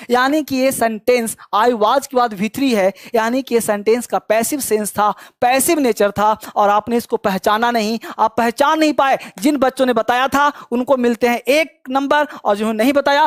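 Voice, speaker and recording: A man runs an online English class, quizzing students at a brisk clip. He teases and scolds along the way. His voice sounds dry, with no room echo. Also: very high-pitched. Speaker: English teacher. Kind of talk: online spoken English quiz lesson.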